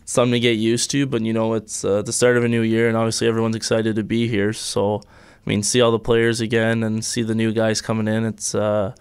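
A man's voice: fairly low pitch, monotone, brisk, few pauses, smooth. A young man whose first language is French, talking easily as a guest in a radio studio, with lots of fillers and restarts. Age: young adult. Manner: easygoing, many fillers and restarts, laughs often. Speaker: hockey player guest. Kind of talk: casual radio interview. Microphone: studio mic on boom arm.

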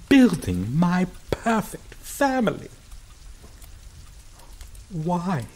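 A woman playing a grieving, possessive vampire in a dramatic ASMR roleplay, speaking haltingly and emotionally with long pauses, vengeful and pleading. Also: on the low side, a little mellow, slight background noise, full character voice. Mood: accusing grief. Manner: halting, slow, emotional delivery with drawn-out pauses.

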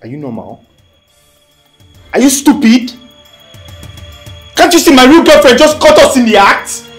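An actor with a Nigerian accent playing a hot-tempered, indignant young boyfriend in a film drama, blunt and repetitive. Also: male voice, a little high, sing-song, normal speed, fairly bright tone, faint music behind. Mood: furious.

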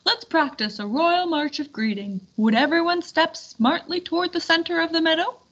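A woman's voice: very high-pitched, moderate pace, a bit bright, lo-fi sound, pitched above the performer's own voice. A woman voicing an eager, take-charge medieval knight giving directions in a storybook. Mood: commanding. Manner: proclaiming, directing.

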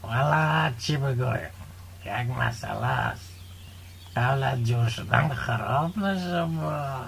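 An actor playing a talkative, sly village gossip with a creaky voice in a radio drama. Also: male voice, mid-range voice, expressive, a bit bright. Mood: insistent. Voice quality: gravelly, creaky. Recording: noticeable background noise.

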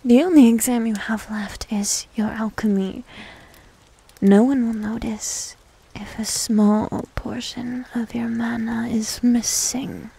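A woman playing a needy, teasing young succubus demon in an ASMR roleplay, with a soft, breathy, often whispered voice and coy manner. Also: on the high side, fairly even intonation, heavily acted, low-quality recording. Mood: sulky, teasing. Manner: coy, slow, coaxing. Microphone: close microphone.

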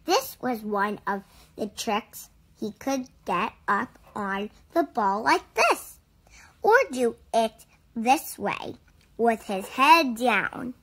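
A woman reading a children's picture book aloud with deliberate, expressive phrasing. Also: a little high, breathy edge. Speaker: storybook reader.

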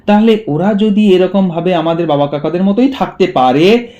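Male teacher, slightly high voice, explains a story line by line with rhetorical questions. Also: moderate pace, dark tone. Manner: asks rhetorical questions and answers them; repeats and paraphrases lines while explaining. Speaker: school literature teacher. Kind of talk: explanatory story lesson for students.

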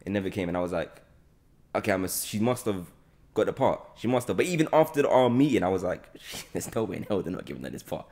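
A young man with a London accent and a slightly low voice, talking quickly. He hosts a relaxed podcast chat in a playful way, often repeating phrases for emphasis. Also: gravelly. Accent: London British. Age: young adult. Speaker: podcast host, actor. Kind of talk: casual chat interview. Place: bright indoor open-plan room on a sofa. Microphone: close podcast mic on boom arm.

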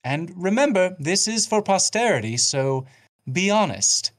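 A man playing a calm, scholarly, sadistic torturer count in a measured, clinical manner at a script read. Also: high voice. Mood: coolly curious.

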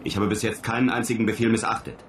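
A man's voice: lowish voice, level tone, fast, slight background noise, lightly acted. An actor playing a weary, insistent male astronaut commander in a radio play, speaking tersely. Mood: tense, insistent. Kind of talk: German radio play acting.

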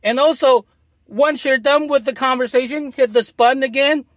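A man's voice: very high-pitched, steady tone, moderate pace, a bit bright, dry recording. A middle-aged man demonstrating a gadget from inside a parked car, explaining in a repetitive, salesy way. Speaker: product demonstrator. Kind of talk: product demo.

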